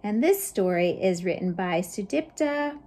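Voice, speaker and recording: A woman's voice: mid-range voice, expressive, unhurried, mellow. A middle-aged woman hosting a children's storytime, reading picture books expressively and chatting to young listeners with questions and asides. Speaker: youth librarian hosting storytime. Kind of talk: children's picture book read-aloud.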